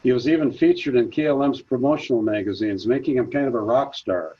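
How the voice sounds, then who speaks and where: A man's voice: medium pitch, slightly rough, dull audio. A man presenting a prepared talk at a senior center, reading steadily from his notes. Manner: measured, reads from notes.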